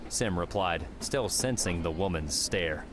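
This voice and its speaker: A man reading scripted fiction as an audiobook narrator, in a measured storytelling manner. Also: deep voice, lively intonation, music in the background.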